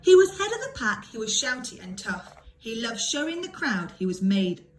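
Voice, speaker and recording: Animated, high-pitched woman reading a storybook. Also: normal speed. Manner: animated, dramatic emphasis. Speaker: primary school teacher reading a story. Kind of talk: expressive children's storybook reading.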